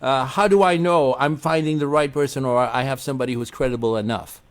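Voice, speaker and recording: A man, hosting a radio interview and asking questions with frequent restarts. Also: mid-range voice. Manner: asks questions, quick affirmations, restarts. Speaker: radio host.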